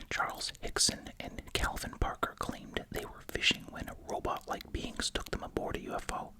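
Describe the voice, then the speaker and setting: An ASMR host whispering close to the mic while chewing gum, reading aloud with casual, musing asides. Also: rough recording. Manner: informal, musing asides in a hushed voice. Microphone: close ASMR microphone.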